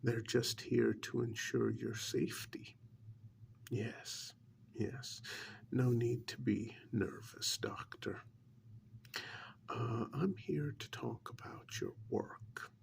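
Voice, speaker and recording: A man playing a calm, probing government agent in an ASMR role-play, speaking in a soft breathy half-whisper with a measured, condescending manner. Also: mid-range voice. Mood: smug, controlled. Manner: measured, condescending, deliberate with long pauses.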